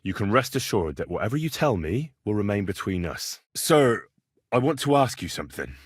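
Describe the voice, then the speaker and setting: A young medieval vagabond posing as a learned healer in a video game. His voice is slightly low and husky, with very animated pitch. He speaks with earnest, deferential formality, close and dry. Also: no room sound. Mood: earnest, persuasive. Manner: deferential, measured, formal address. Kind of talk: scripted video game dialogue.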